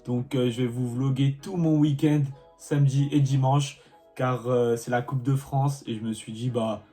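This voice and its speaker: A man's voice: mid-range voice, normal speed, soft background music. A young man, a vlogger and player, chatting casually and talking straight to his viewers. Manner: relaxed, talks straight to viewers. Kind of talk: casual vlog chat.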